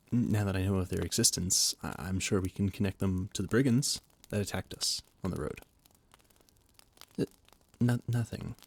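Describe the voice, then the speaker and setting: A man's voice: on the low side, a little mellow. A young man playing an anxious, loyal royal advisor who stammers and speaks formally. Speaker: voice actor in an audio roleplay. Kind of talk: scripted audio roleplay.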